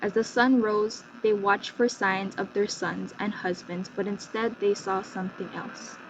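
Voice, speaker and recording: A woman's voice: mid-range voice, steady tone, measured pace. A woman telling a scripted folktale as narrator with a dramatic storytelling delivery. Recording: muffled lo-fi, faint hum.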